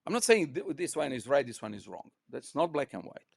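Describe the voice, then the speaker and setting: An older man with a Croatian accent, talking as a physics professor in an interview in an emphatic, digressive way, often repeating words haltingly. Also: mid-range voice, very animated, creaky, slightly rough, no echo, studio quality. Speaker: physics professor, podcast guest. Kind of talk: unscripted, discursive interview talk.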